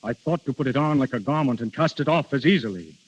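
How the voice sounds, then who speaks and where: An actor playing Death in princely human guise, grandiose, yearning and imperious, in a 1930s radio drama. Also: male voice, medium pitch, expressive, fast, slightly rough. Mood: anguished, imperious. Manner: theatrical, imperious, brooding. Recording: muffled 1930s radio recording.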